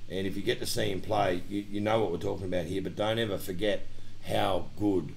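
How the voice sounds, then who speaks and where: Gravelly, low-voiced Australian man. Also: steady tone, fairly quick, slight background noise, low-quality recording. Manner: chatty, enthusiastic, rambling. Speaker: co-host of a live country music show. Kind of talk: casual live chat. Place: live show, camera filming.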